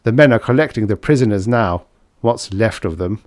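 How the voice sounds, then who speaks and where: A man's voice: on the low side, lively intonation, moderate pace, darkish tone, no echo, clean studio sound, lightly acted. A man voicing an uneasy boarding-party soldier in a science fiction audiobook, terse and strained. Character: uneasy male boarding-party soldier reporting to his skipper.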